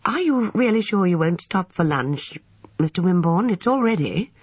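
A woman voicing a gracious, gentle lady hostess with a polite manner in an audiobook. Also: fairly low pitch, lively intonation, moderate pace, breathy edge, voice pushed up. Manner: polite, hospitable. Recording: dull, roomy, echoing sound.

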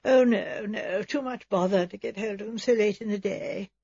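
Thin-voiced, plaintive English invalid. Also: female voice, mid-range voice, normal speed, dark tone, breathy edge, dry recording, muffled recording. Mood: feeble, fussy. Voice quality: thin, weak. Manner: melancholy, martyred, plaintive. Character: frail, self-pitying invalid spinster, melancholy and fussy.

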